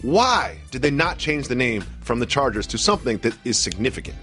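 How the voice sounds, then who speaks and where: An American man co-hosting a playful sports talk show, animated and full of rhetorical questions. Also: mid-range voice, brisk, soft background music.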